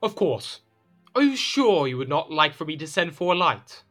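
A man's voice: a little high, big pitch swings, normal speed, fairly bright tone, faint music behind. An actor playing a proud, petulant French king in a period audio drama, with a theatrical, formal manner. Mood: conciliatory. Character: proud, petulant French king, suspicious yet conciliatory husband.

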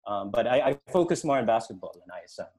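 A man's voice: mid-range voice, animated, fast talker, darkish tone. A middle-aged man talking casually as an interview guest, explaining and recounting his own experiences. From Indonesia, Hong Kong and the Philippines. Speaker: interview guest, sports programme organiser and futsal official.

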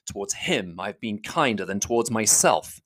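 A reader voicing a proud, stiff gentleman who speaks in a measured, contemptuous, slow way. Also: sits low, lively intonation. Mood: cold. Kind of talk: audiobook reading.

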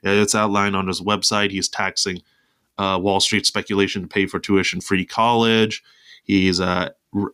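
A man hosting his own political commentary podcast, with a nasal voice from congestion, talking haltingly with restarts and fillers. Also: deep voice, fairly bright tone. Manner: hesitant, many restarts and fillers, reading article excerpts aloud. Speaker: podcast host.

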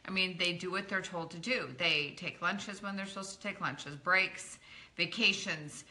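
Bright-voiced chatty woman coaching viewers. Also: on the low side, moderate pace. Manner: chatty, encouraging, greets viewers by name. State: slightly croaky from talking a lot. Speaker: network marketing coach. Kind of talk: live-streamed training session.

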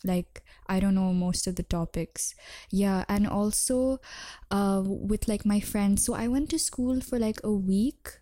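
A woman's voice: mid-range voice, measured pace, mellow, no room sound, clean studio sound. A teenage girl whose first language is Urdu, chatting casually as a podcast guest and using lots of "like" fillers. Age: teens. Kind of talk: casual chat.

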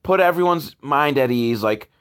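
A man's voice: medium pitch, expressive, normal speed, fairly bright tone, clear, resonant tone. Casual, sarcastic man giving commentary. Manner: sarcastic, profane. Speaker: host reviewing old educational films.

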